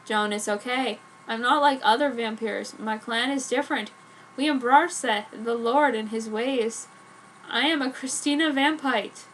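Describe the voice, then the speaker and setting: A woman's voice: fairly high pitch, measured pace, a bit bright, slight background noise, low-quality recording. A woman voicing a sad, brooding, pious teenage boy vampire in a fan fiction reading, earnest in manner. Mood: reassuring.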